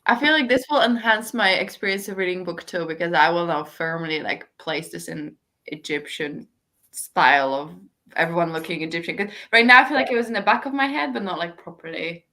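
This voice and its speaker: Bright-voiced woman chatting informally. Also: fairly low pitch, fairly quick. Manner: informal, thinking out loud. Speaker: book discussion co-host. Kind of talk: casual chat about books.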